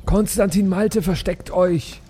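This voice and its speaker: A man's voice: high-pitched, slow, warm tone, breathy edge. A man improvising casually as a player in a tabletop role-playing game. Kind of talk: improvised in-character role play, casual chat.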